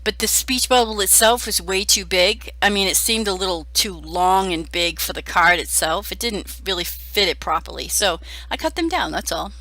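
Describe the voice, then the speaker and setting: Hoarse middle-aged woman crafter, chatty and self-deprecating, bright tone. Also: medium pitch, animated, normal speed, few pauses, no room sound, rough recording. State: hoarse from a cold. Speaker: card-making crafter. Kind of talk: casual crafting voice-over.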